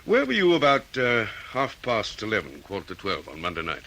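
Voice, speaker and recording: Casting: methodical Australian detective, low pitched, lively intonation, deliberate questioning, hissy old recording. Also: male voice, heavily acted. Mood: probing. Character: methodical, probing male police detective. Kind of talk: old radio detective drama acting.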